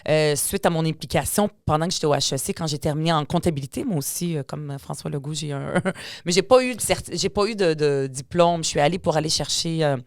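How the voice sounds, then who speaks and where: A low-pitched woman in her forties with a Quebec French accent, raised in Lebanon with Palestinian Arabic as her first language. She tells her story candidly and with energy, often restarting her sentences. Her voice sits close and dry on the microphone. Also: brisk, dry recording. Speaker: guest politician. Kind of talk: casual conversational interview.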